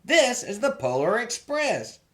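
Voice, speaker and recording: A man voicing a commanding, kindly train conductor from a children's storybook, measured and declamatory. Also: very high-pitched, very animated, heavily acted. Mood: inviting, assured.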